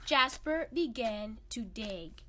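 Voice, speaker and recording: A woman's voice: fairly high pitch, expressive, slow, bright, forceful tone, slight background noise. A woman narrating a children's read-aloud expressively, with dramatic, suspenseful emphasis. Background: background music.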